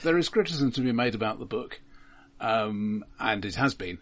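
A man's voice: lowish voice, animated, normal speed. A man co-hosting a casual film podcast, repeating words as he gives dry opinions. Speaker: podcast co-host.

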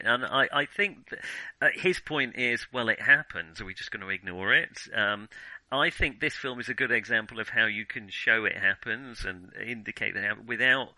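A man's voice: medium pitch, sharp tone, no echo, poor audio. A man in a casual film discussion as a podcast host, talking in a hesitant way and often qualifying what he says.

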